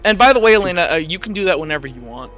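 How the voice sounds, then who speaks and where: High-voiced, bright male game master. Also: expressive, rapid-fire, lo-fi sound, soft background music. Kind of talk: narrating an online tabletop roleplaying game.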